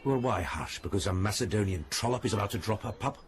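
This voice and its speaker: A man's voice: lowish voice, lively intonation, quick pace, a little hiss or hum, lightly acted. A man playing a sceptical, grumbling ancient Greek warrior in an audio drama, with a dry, sardonic manner. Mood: sardonic, bored. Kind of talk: historical audio drama acting.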